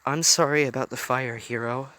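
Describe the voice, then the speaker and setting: A man's voice: mid-range voice, fairly even intonation, normal speed, pushed and bright, natural voice. A man voicing a caring young girl who sounds worried and unsure. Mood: remorseful. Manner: raised, worried tone.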